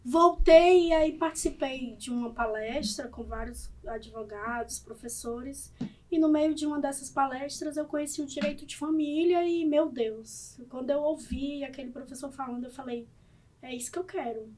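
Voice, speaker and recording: A woman with a Cearense Brazilian accent, a family lawyer talking as a podcast guest in an expressive, humorous way. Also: high voice, moderate pace. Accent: Cearense Brazilian Portuguese. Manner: expressive storyteller, playful and humorous. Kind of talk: casual interview conversation.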